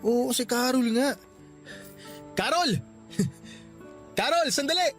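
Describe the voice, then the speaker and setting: A man's voice: very high-pitched, lively intonation, slow, a bit bright, soft background music, full character voice. A man playing a friendly, helpful young office worker in radio-drama dialogue, chatty and given to teasing. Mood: cheerful, eager.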